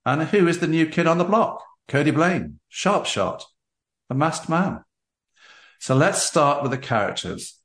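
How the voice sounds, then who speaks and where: A man hosting a book interview show, speaking in an effusive, theatrical and deliberately phrased way. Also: on the high side, expressive, fairly bright tone. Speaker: podcast host interviewing an author. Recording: roomy, echoing sound.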